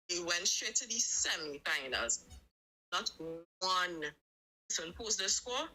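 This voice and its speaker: A woman's voice: medium pitch, sharp tone, low-quality recording. A woman from Tobago with a Trinidad and Tobago accent, talking emphatically in a casual live chat. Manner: emphatic, repeats for stress.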